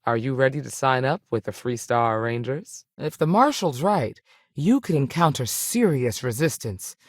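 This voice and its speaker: A stern, dutiful woman ranger from a space video game. Her pitch moves around freely as she gives firm, plain-spoken lines with frontier folksiness. The recording is close and dry. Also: animated, moderate pace, no echo. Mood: friendly, informative. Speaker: video game character voice actor. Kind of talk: game dialogue acting.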